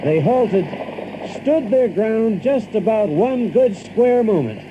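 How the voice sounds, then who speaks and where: Boastful Yankee hero-narrator, high-set theatrical voice, lively audiobook drama delivery, lo-fi sound. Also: male voice, slow pace, background noise. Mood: excited. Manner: theatrical, animated, with chuckles. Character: boastful, excitable Yankee adventurer telling his tale. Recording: dull lo-fi audio with loud music.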